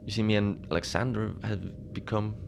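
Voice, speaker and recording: Over a clearly audible bed of noise, a man acts out a lonely station keeper in a cozy horror audio drama. His low voice has a lot of gravelly fry. He talks mildly and haltingly, treating strange things as everyday matters. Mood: wistful, musing. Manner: halting, conversational, understated storytelling with small hesitations. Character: lonely, mild, unbothered polar station keeper telling strange tales. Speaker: narrator of a fiction podcast. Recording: steady noise behind the voice.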